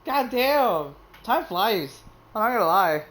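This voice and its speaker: Animated, swearing woman game streamer. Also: mid-range voice, slow pace, a little hiss or hum. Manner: rambling, swears, laughs often. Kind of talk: casual gameplay commentary.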